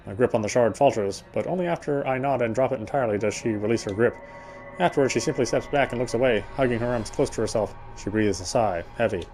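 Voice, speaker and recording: A man's voice: medium pitch, fast, darkish tone, faint music behind, rough recording. A man reading a game's story narration aloud in a dramatic storytelling style.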